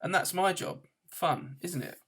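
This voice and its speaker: A man's voice: high-pitched, measured pace, fairly bright tone, breathy edge, pitched above the performer's own voice, full character voice. A man plays an easygoing galactic hitchhiker in a comic storybook. He is breezy and pleased with himself, explaining everything in a matter-of-fact way. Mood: enthusiastic. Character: breezy, self-satisfied alien travel-guide researcher, easygoing man.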